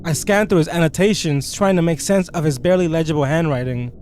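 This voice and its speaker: Higher-pitched man doing expressive gaming commentary. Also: fast, slight background noise. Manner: expressive, slangy, incredulous reactions. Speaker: gaming video creator. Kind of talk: let's play commentary and reading game text aloud.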